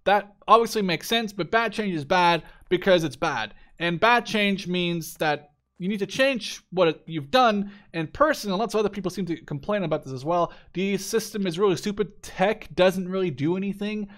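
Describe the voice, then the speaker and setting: Higher-pitched young man doing game commentary. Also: moderate pace, a bit bright. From Netherlands. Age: 20s. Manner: rambling, repeats himself, swears casually. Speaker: gaming video host. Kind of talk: casual let's play commentary.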